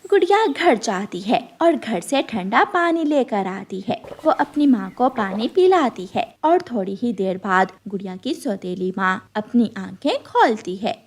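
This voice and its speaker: High-voiced woman narrating a children's tale. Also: sing-song, a little slow, hardly any pauses. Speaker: storyteller. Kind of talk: scripted children's story narration.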